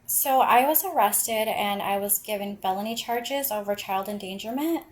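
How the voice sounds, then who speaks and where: A woman's voice: mid-range voice, moderate pace. A woman calling to file a complaint on a recorded phone call, speaking in an insistent, persistent way. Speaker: caller filing a complaint.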